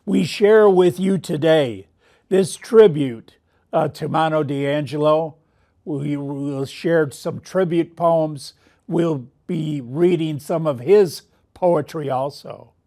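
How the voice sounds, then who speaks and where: A man hosting a poetry tribute, speaking in measured, halting phrases as he delivers a memorial. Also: fairly high pitch, warm tone.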